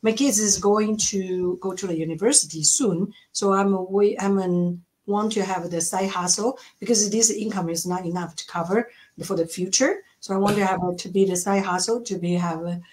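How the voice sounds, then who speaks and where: A woman's voice: mid-range voice, moderate pace, few pauses, a little mellow, rough recording. A middle-aged woman from Taiwan with a Taiwanese accent, co-hosting a livestream in informal, rambling chat with broken phrasing. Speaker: co-host, healthcare worker. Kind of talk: casual chat, self-introduction.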